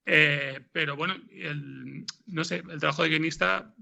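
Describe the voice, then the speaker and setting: A man's voice: on the high side, unhurried, gravelly, sharp tone. A man with an accent from Spain giving unscripted interview answers as a writer, hesitant and rambling, often repeating words. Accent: Spanish from Spain. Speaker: interview guest, podcast scriptwriter.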